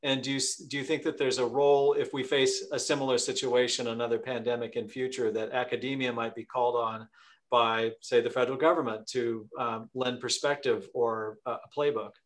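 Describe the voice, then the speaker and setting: A man's voice: mid-range voice, flat delivery, fairly quick. A middle-aged man hosts an online town hall over a video call from his home office. His tone is friendly as he reads out questions from the audience. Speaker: host, foundation president.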